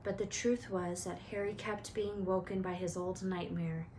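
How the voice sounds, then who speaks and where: A woman's voice: on the low side, steady tone, normal speed. Woman reading a story aloud, faint noise. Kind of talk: expressive storybook narration.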